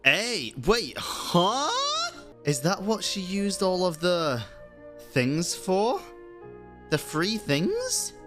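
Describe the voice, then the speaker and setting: A man's voice: high-pitched, sing-song, drawn-out words. A man streaming a game, giving casual, slangy, sweary reactions as he thinks aloud. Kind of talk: casual reaction commentary over gameplay. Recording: game music faintly behind.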